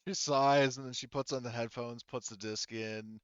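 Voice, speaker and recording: A man's voice: mid-range voice, fairly even intonation, moderate pace, fairly bright tone, smooth, no echo, dull audio. A man narrating a tabletop game as game master in an informal, sweary, amused way.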